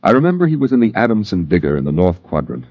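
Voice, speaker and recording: An actor playing a grown man recalling his boyhood, in measured, reflective narration for a 1950s radio drama. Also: low voice, sing-song, dry recording. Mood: reflective, grave. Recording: dull vintage radio recording. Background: musical score behind the voice.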